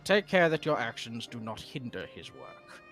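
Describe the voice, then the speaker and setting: A stiff, courtly official speaks in archaic phrases, his pitch rising and falling with lively swings, as a man voices him on a stream. Faint game music runs underneath. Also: moderate pace. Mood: stern. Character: formal male official guarding a research facility. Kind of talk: voicing game dialogue on a live stream.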